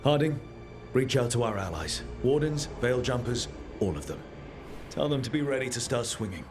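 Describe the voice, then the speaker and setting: An actor playing a steady, resolute leader in a video game, speaking earnestly and firmly to encourage his team. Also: mid-range voice, moderate pace, over music, lightly acted. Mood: determined, commanding. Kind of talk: cinematic video game voice acting.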